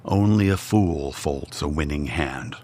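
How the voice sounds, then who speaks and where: A man reading the part of a stern wealthy mother who disapproves of her son's partner, in slow, cold, measured lines. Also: a bass voice, warm tone.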